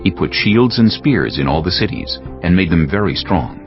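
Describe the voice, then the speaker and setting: A man narrating dramatized scripture as a measured storyteller. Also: low voice, animated. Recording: slightly dull sound, music behind.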